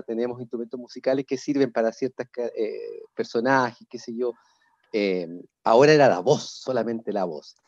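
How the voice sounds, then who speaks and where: A man's voice: medium pitch, darkish tone, no room sound. A middle-aged man with a Chilean accent, an actor explaining his craft expressively in an online panel. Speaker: actor in a theatre company. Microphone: video call. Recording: dull, dry video call audio.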